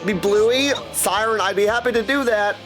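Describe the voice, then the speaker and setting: A man's voice: high-pitched, normal speed. A man chatting casually with viewers as he hosts a game livestream, hopping between replies and catchphrases. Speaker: livestream host playing a video game; voice actor and audiobook narrator. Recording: music playing behind the voice. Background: music.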